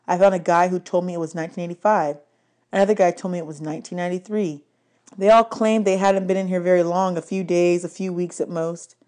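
A woman reading an audiobook aloud in an expressive storybook style. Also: fairly low pitch, fast talker. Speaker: audiobook reader.

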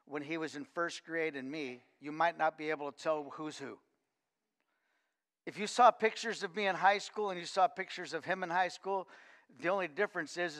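A man's voice: on the high side, fairly bright tone. An older man in his sixties preaching a sermon and reading scripture, earnest and repeating words for emphasis. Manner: earnest, repeats words when stressing a point. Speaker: pastor. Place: church stage with lectern. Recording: church hall reverb.

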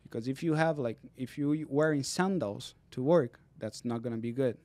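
A man's voice: mid-range voice, moderate pace, darkish tone, no echo. A man in his thirties, a physical therapist, explaining exercises and leg health earnestly in a studio interview. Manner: earnest, explanatory, non-native phrasing. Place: dark podcast studio. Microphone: studio mic on boom arm, headphones.

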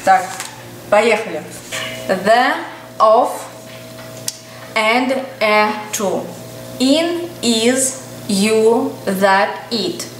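A woman's voice: fairly high pitch, very slow, pauses often, reverberant, a little hiss or hum, low-quality recording. A woman in her thirties with a Russian accent, chatting candidly as a tutor and parent, then reading English words one by one into a webcam at her desk. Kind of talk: casual vlog-style lesson, reading word lists. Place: small indoor room.

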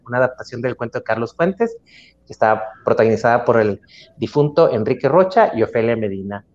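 A middle-aged man with a Mexican accent hosting a live interview over a video call, speaking somewhat haltingly. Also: medium pitch, a little mellow. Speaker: talk show co-host. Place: indoor room at home, video call. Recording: dull lo-fi video call audio.

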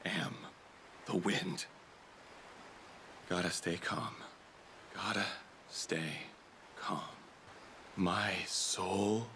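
A man voicing a cocky, excitable, goofy monster hunter in a video game, hammy and boastful. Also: low voice, drawn-out words, long pauses, slight background noise, heavily acted. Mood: mock solemn. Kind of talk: video game combat voicelines. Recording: game sound effects behind voice.

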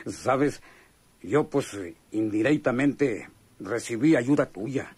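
An actor playing a chummy but bitter rural Mexican man from a poor family in a radio drama, talking in a halting, folksy way. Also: medium pitch, darkish tone, breathy edge, no echo. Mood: chummy, eager. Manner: colloquial, halting, folksy storytelling. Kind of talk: radionovela acting.

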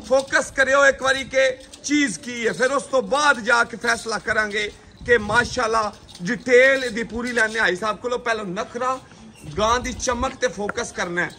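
Man, middle-aged, very high bright voice, showy livestock pitch outdoors, faint farm noise. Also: normal speed. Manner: showy, upbeat, directs handlers. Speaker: livestock video host. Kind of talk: sales pitch showing cattle. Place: outdoor cattle farm yard.

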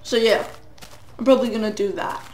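Teen gamer with a cold, high-ish voice, rambling, echoey home room; casual commentary. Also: male voice, fairly quick, darkish tone. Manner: rambling, self-deprecating, sing-song asides. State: a cold, voice getting hoarse.

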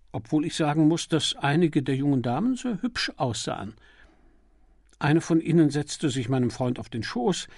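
A man's voice: a little high, a little mellow, no echo, studio quality. A man voicing a timid, prim and apologetic male witness in an audiobook, earnest and easily flustered. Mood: earnest, embarrassed. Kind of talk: audiobook character dialogue.

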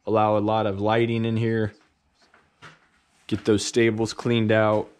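A man's voice: lowish voice, a little slow, slight background noise. A man, casually explaining a barn repair job on his vlog with lots of fillers. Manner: relaxed and explanatory, with many "uh" and "kinda" fillers. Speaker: carpenter and channel host. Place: outdoors at a farmyard barn and inside a large workshop.

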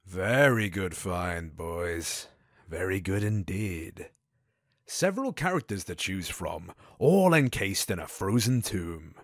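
A commanding post-apocalyptic gang boss acted by a man, low and dry-sounding. He speaks with formal, measured theatricality, his pitch swinging widely, in the style of an audio drama. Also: lively intonation, no room sound, full character voice. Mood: smugly pleased.

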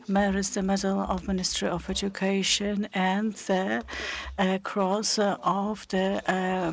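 Gravelly-voiced man introducing a speaker. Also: high voice. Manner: formal, reading with fillers. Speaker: conference moderator. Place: university lecture hall, at a podium. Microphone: desk mic on a stand.

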